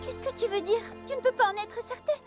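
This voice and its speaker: Very high-pitched perky cartoon pony girl. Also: fairly quick. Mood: gently disappointed. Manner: perky, gushing, exclamatory. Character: bubbly, excitable young female cartoon pony. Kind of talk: animated cartoon dub. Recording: loud music behind.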